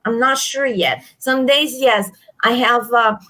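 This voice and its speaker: A high-pitched woman chats with emphatic repetition and frequent restarts. Her accent comes from a stroke and gives her speech a halting, uneven quality. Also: pushed and bright. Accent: non-native, from a stroke. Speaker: livestream guest. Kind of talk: casual chat.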